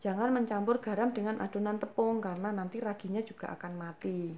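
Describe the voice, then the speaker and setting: A woman's voice: medium pitch, fairly even intonation, a little fast, dark tone, highs cut off, slight background noise. A woman with a Javanese accent hosting a home baking tutorial, answering viewer questions patiently with frequent hesitations. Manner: patient, explanatory, frequent hesitation fillers, addresses viewers as mothers. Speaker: cooking channel host.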